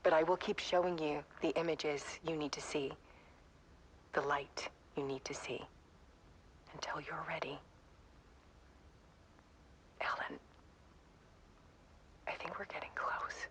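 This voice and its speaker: An actress voicing a devoted wife in a video game, speaking in a soft, breathy, intimate whisper. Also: female voice, low-pitched, steady tone, takes it slowly, pauses often, slight background noise, rough recording. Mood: hushed. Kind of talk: video game cutscene voice acting.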